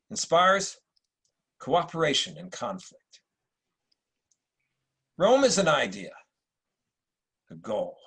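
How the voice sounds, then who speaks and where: An actor playing a wise Roman emperor in a drama read-through, speaking deliberately with weighty pauses like an orator. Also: male voice, a little high, a bit bright, slightly rough, exaggerated voice. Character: wise, measured Roman emperor, philosophical and probing. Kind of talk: dramatic table-read acting.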